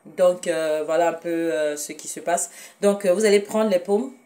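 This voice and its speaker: A woman's voice: lowish voice, moderate pace, distant mic, background noise. A woman from Cameroon with a Cameroonian French accent, hosting a casual home cooking demonstration in her kitchen, talking hesitantly with many fillers and self-corrections and addressing viewers fondly. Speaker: cooking show host.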